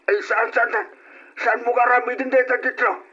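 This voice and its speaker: A man's voice: very high voice, fairly even intonation, moderate pace, bright, forceful tone, slightly rough, exaggerated voice. A man acting as an emotional, protective grandfather with a temper in an Ilocano radio drama. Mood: pleading, alarmed. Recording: muffled old radio drama recording.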